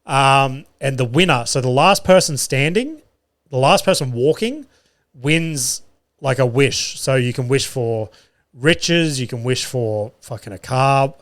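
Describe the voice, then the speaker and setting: A man's voice: mid-range voice, fairly bright tone. Casual sweary man. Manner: loose and sweary, laughs at his own jokes. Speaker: podcast host and comedian. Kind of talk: casual solo podcast storytelling. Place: studio.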